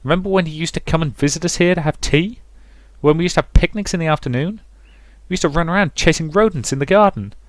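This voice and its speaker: A man's voice: fairly high pitch, fast talker, no echo, pitched above the performer's own voice, lightly acted. A man voicing a brash, sweary, dramatic sixteen-year-old girl in a visual novel, complaining emphatically. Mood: indignant, blunt.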